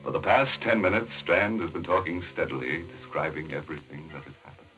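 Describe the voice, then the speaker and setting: A man's voice: low-pitched, steady tone, a little fast, bright, forceful tone. A man narrating a 1940s radio mystery in a scripted, dramatic style. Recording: muffled old radio recording, music behind.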